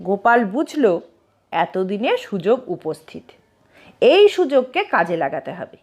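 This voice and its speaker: A woman narrating a scripted comic story as an expressive storyteller. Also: mid-range voice, unhurried, slightly rough.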